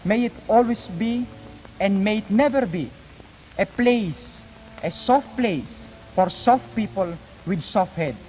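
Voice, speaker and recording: A young man, an exchange student, delivering an earnest, formal prepared speech in measured rhetorical phrases. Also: sits high, animated, a little mellow, faint music behind. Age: young adult. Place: large reverberant hall. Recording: 1950s archive, echoing hall, background noise.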